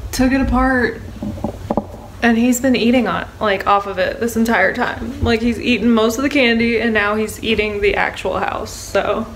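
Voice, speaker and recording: A woman's voice: fairly high pitch, fairly even intonation, normal speed, a little hiss or hum, rough recording. A young American woman chatting casually to her phone camera as a vlogger. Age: young adult. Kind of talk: casual vlog chat to camera. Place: home kitchen, later inside a moving car. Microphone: phone at arm's length.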